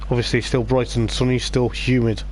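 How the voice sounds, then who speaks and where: A man with a voice going hoarse, giving rambling live race commentary on a stream, with lots of hesitant fillers. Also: medium pitch, flat delivery, moderate pace, a little hiss or hum. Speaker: sim racing league commentator.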